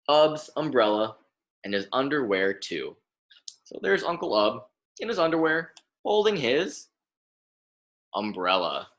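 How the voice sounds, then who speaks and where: A young adult American man reads a children's book aloud as a lesson. His pace is unhurried and his intonation is lively, rising and falling widely. He instructs plainly, repeating and stressing words for young listeners. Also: medium pitch. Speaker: graduate student reading aloud to children.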